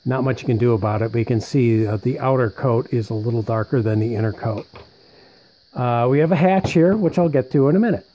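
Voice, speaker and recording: A man's voice: medium pitch, a little fast, dark tone, a little hiss or hum, low-quality recording. A man giving a casual, unscripted show-and-tell as presenter, rambling conversationally and thinking aloud. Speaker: presenter showing vintage military radio equipment.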